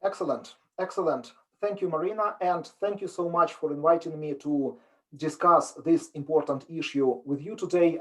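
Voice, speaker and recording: A man's voice: fairly high pitch, low-quality recording. A middle-aged man from Ukraine with a Ukrainian accent, giving an earnest, explanatory online talk as a business professor. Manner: earnest, explanatory, with frequent restarts. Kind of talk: online lecture presentation.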